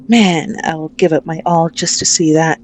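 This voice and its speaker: A very slow, deliberate female mafia boss, acted out by a woman for an ASMR roleplay, with a slightly low voice. She is possessive and manipulative, taunting her hostage with mock tenderness and open menace. Faint noise sits behind the voice. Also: a little mellow.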